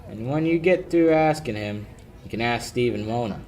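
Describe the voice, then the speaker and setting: A man's voice: mid-range voice, animated, moderate pace, darkish tone, natural voice. A young male amateur actor playing a cocky, wisecracking sleuth with a confident, needling manner in a 1940s radio drama style. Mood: wry, relaxed. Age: young adult. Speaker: amateur high school actor.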